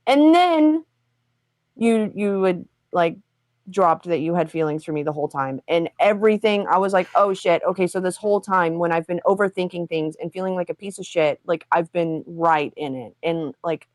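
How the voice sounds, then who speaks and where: A woman's voice: fairly low pitch, moderate pace, a little mellow, no echo. A woman on a livestream talk show, speaking bluntly and pressing hard questions, with frequent swearing.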